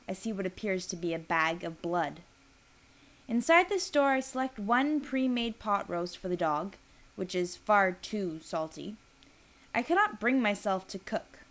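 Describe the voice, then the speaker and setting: Woman reading a story out loud, medium pitch, deliberate and expressive, leans on words for drama. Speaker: reader of a literary piece. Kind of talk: literary reading, first-person narration.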